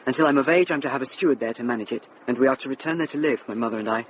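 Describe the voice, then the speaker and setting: A young actor playing an earnest, well-bred youth, grateful and eager, in a radio drama. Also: male voice, mid-range voice, rapid-fire, a little hiss or hum, lightly acted, rough recording. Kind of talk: British radio drama acting.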